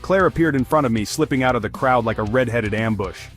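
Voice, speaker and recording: A man's voice: mid-range voice, fast. A man narrating a first-person comedic fantasy story as a scripted audio series. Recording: music behind the voice.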